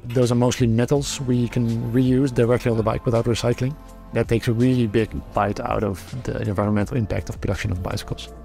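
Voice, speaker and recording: A man's voice: medium pitch, little pitch movement, fast talker, creaky, hardly any pauses, dark tone, soft background music. A middle-aged man from the Netherlands with a Dutch accent, speaking as a company founder in a documentary interview, thoughtful and often restarting his sentences. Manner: thoughtful, many restarts and fillers.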